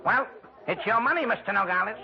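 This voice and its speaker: High-voiced sing-song roulette croupier. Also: male voice, lively intonation, a little fast, gravelly. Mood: brisk, deferential. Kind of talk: 1940s radio acting. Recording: very noisy, muffled old radio recording.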